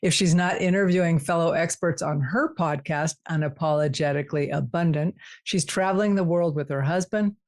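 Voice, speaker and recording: A woman's voice: sits low, moderate pace, hardly any pauses, darkish tone. A woman hosting a podcast, reading a guest introduction and asking questions in an upbeat, enthusiastic way. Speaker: podcast host.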